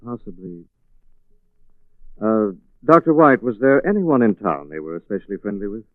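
Gentleman detective, low voice, measured pointed questions, 1940s radio drama, thin phone-like sound. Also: big pitch swings, dry recording. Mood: probing. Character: suave, probing amateur sleuth, gentleman investigator. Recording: muffled 1940s radio recording, phone-like band.

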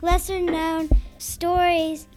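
Female performer as a solemn radio announcer, high pitch, slow storytelling, music behind. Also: level tone. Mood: grand. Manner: deliberate, storytelling. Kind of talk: scripted old-fashioned radio narration.

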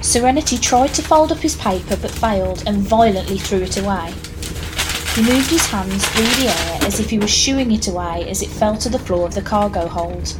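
Lady narrator reading an audio drama, measured, medium pitch, noticeable noise in the background. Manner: measured, storytelling. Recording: clear background noise, ambience.

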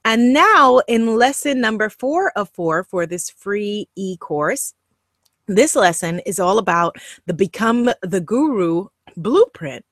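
Animated woman host with a Guyanese accent. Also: medium pitch, slow pace, no echo, studio quality. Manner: upbeat, animated, emphatic and encouraging. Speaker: online course host and coach. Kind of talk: direct-to-camera e-course lesson.

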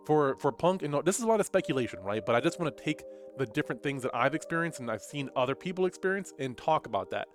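A man's voice: on the high side, animated, quick pace, few pauses, soft background music. A man with a stuffy nose and a slightly nasal voice, musing in casual commentary to his viewers with frequent fillers and restarts. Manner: thoughtful, rambling, with frequent fillers. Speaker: fighting game commentator and content creator. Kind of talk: casual commentary talking to camera.

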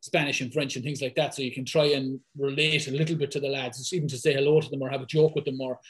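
A man in his thirties with a thick Irish accent, talking as a guest in a casual podcast conversation, lively and full of fillers. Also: medium pitch, slightly rough. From west of Ireland. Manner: fast, lively talker, many fillers. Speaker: guest, football fitness coach.

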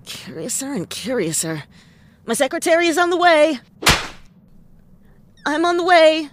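A woman's voice: sits high, big pitch swings, a little slow, background noise. An actress playing a cocky, wisecracking woman detective in a comic noir audio drama, wry and theatrical. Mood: playful, cocky.

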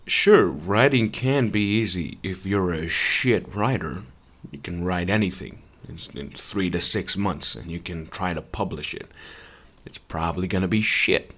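Young man from Serbia, podcast host, blunt and profane, low voice, unhurried delivery. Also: a bit bright. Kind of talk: ranting monologue.

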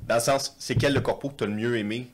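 A man with a Quebec French accent hosting a podcast, joking and swearing casually in relaxed conversation. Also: mid-range voice, fast, a little hiss or hum. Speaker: podcast host.

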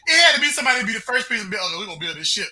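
A man's voice: very high voice, big pitch swings, very fast, sharp tone. A man in casual podcast conversation, speaking in loose slang and often checking in with his listeners. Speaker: podcast panelist. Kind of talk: casual chat.

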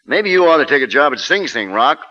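An actor playing a wry, brisk assistant coach in 1940s radio drama style. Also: male voice, mid-range voice, big pitch swings, pushed and bright. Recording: noisy, dull vintage 1940s radio recording.

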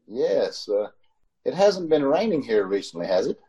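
A man's voice: mid-range voice, big pitch swings, dark tone, breathy edge, highs cut off. A man playing a haunted, uneasy motorist who tells his story in an old-time radio drama. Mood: nervous, hesitant. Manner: measured narrating, nervous stammering in dialogue. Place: home, recorded over a video call.

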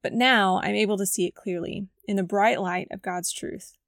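A woman with a scratchy, gravelly voice hosts a devotional podcast at a middle pitch. She reads her personal story from a script in an earnest, reflective way. The close mic gives a dry sound with no room echo. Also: steady tone, moderate pace, a bit bright. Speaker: podcast host.

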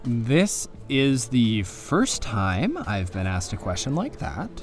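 A man's voice: medium pitch, big pitch swings, slow pace, faint music behind. An actor playing a friendly, easygoing male restaurant server in a naturalistic audio drama. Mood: friendly, amused.

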